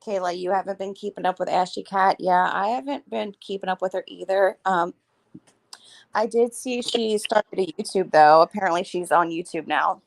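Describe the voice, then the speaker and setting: A woman co-hosting a casual livestream chat, her voice a little raspy, talking easily with fillers. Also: mid-range voice, normal speed. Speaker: co-host.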